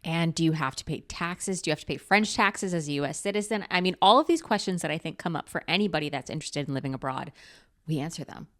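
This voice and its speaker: A woman's voice: sits low, fast talker, a bit bright. A woman co-hosting a podcast in an upbeat, welcoming manner. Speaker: podcast co-host. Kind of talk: podcast chat.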